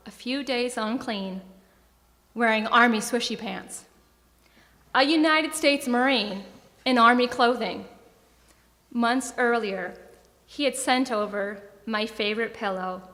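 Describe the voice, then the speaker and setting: A young woman, a military veteran, reading her own scripted personal story on stage in a measured, deliberate way. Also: on the high side, bright, forceful tone. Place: theatre stage at an arts centre. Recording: long hall reverb.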